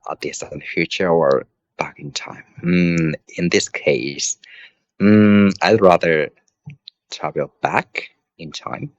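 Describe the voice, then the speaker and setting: A man's voice: sits low, slow pace, dull audio. A man, an English student in casual conversation practice, hesitant and laughing often between words. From Japan. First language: Japanese.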